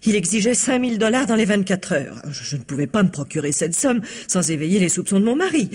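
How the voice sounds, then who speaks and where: Nervous married society lady, husky voice, hesitant stammer, evasive, vintage radio mystery drama. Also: mid-range voice, big pitch swings, quick pace, a little mellow. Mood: nervous, contrite. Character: flustered married society woman, blackmail victim under police questioning.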